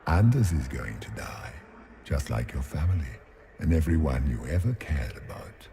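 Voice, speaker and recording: Very deep, menacing fear demon. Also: male voice, very animated, noisy background, heavily acted. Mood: taunting. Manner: slow, taunting, grandiose declarations. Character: gloating, menacing male fear demon, vast and cruel. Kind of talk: theatrical video game villain acting.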